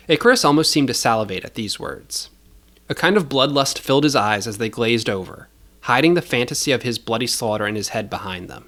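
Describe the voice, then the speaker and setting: A man's voice: medium pitch, a bit bright. A man narrating scripted audio fiction as the storyteller.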